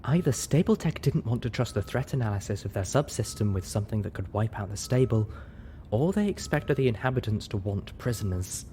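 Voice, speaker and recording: A man voicing a haggard female pony medic, raspy, dry and snarky, in a story reading. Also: on the low side, lively intonation, fairly quick, warm tone, slight background noise, natural voice. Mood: bitter. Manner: dry, somber.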